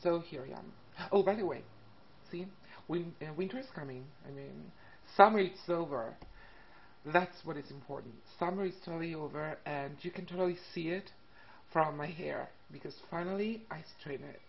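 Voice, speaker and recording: A woman of thirty from Italy with an Italian accent, telling a personal story casually to camera in a hesitant, halting way. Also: sits low, gravelly. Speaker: vlogger. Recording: muffled lo-fi webcam audio, background noise. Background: classical music and faint room noise.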